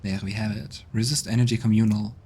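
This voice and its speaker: A man's voice: fairly low pitch, little pitch movement, fast talker, darkish tone, slight background noise. A man streaming a game, thinking aloud and muttering commands with plenty of hesitation. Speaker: let's play streamer. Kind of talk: casual gameplay commentary. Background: faint game music.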